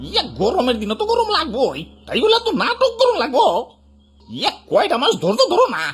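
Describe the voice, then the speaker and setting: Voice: sits high, wildly swooping pitch, fairly quick, a bit bright, full character voice. A performer voicing a feisty, overheated cartoon complainer in colloquial, exclamatory speech with a Bangladeshi accent. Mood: exasperated. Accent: Bangladeshi, colloquial dialect. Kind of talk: comic animated cartoon dialogue.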